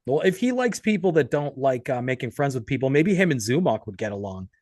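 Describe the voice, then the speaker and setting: A man's voice: medium pitch, big pitch swings, brisk, darkish tone, no echo, studio quality. A man hosting a casual podcast interview, talking in a rambling, sweary way. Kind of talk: casual chat.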